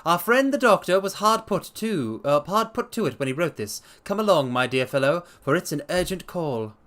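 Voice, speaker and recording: A man voicing a self-assured gentleman detective in a storybook reading, brisk, precise and emphatic as he explains himself. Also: on the high side, animated, pitched above the performer's own voice. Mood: urgent.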